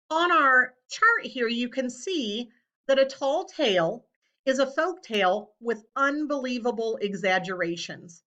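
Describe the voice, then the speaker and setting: Female schoolteacher, higher register, explanatory and deliberate for children, somewhat muffled lo-fi sound. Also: a bit bright. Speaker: elementary school teacher.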